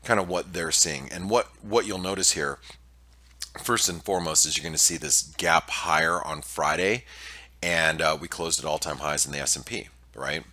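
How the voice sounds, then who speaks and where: A man with a very deep voice that still sounds bright and forceful. He is nasal and stuffed up, and he talks through a market preview with lots of "um"s and false starts. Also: normal speed. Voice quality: nasal from allergies. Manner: hesitant, many fillers and restarts. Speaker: market analyst presenting weekly preview. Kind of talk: casual screen-share market commentary.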